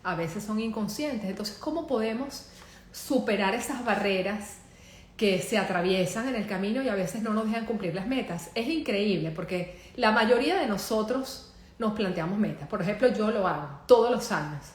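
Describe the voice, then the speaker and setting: A woman's voice: medium pitch, expressive, normal speed. A woman with a Venezuelan accent, a psychologist hosting a live online show in an upbeat, welcoming way. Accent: Venezuelan Spanish. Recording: roomy echo, faint background noise.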